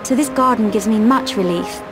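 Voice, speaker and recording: An actress playing a young, reserved, dignified princess in a video game, speaking formally and with composure. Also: female voice, a little high, moderate pace, music in the background, close to an everyday voice. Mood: solemn, reserved.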